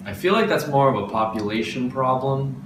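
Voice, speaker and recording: A man's voice: medium pitch, lively intonation, a little fast. A man in his late teens with an American accent, a university student answering interview questions in an informal, tentative way. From Washington state, United States. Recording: distant mic, echoey room, clear noise.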